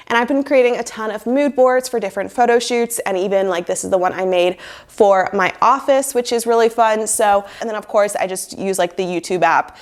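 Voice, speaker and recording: A young woman in her twenties, sick with a cold and sounding nasal, chatting upbeat to camera in a small room on a lapel mic, with lots of "like" fillers. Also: medium pitch. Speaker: lifestyle vlogger. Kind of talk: casual chat to camera. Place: small bedroom office indoors. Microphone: lapel mic plugged into a phone.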